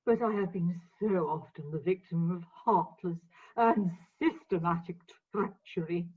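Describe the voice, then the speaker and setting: A woman's voice: on the low side, unhurried, husky. An actress playing a jealous, grandly wronged tragic woman in a comic radio play, declaiming theatrically over a video-call recording. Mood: mournful, fawning. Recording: tinny, band-limited video-call recording.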